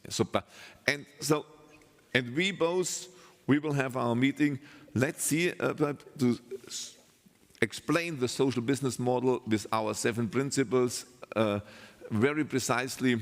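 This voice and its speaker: Male stage host, mid-pitched, upbeat and loose in phrasing, long hall reverb. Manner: loose non-native phrasing, upbeat thanks. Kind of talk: live stage interview.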